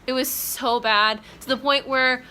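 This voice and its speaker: A woman's voice: high-pitched, steady tone, a little slow, sharp tone, slight background noise. A young woman vlogging casually about her day, rambling and chatty with lots of fillers, from a hotel room. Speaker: vlogger, bride. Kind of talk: casual vlog chat.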